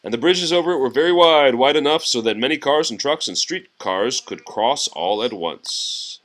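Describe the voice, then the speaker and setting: A man reading a children's storybook aloud expressively, pausing to chat and answer questions from young listeners. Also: medium pitch, expressive, fairly bright tone.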